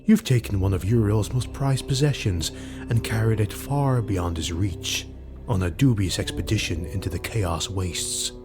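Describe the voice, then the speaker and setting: A man voicing a sly, mocking rogue retainer in an audiobook, deferential and insinuating. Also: fairly low pitch. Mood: sly, measured.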